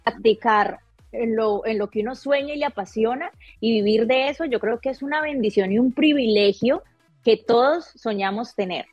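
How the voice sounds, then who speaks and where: A woman's voice: fairly high pitch, moderate pace, a little mellow, breathy edge, no room sound, lo-fi sound, faint music behind. Effusive woman hosting an interview. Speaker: host interviewer. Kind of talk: enthusiastic interview.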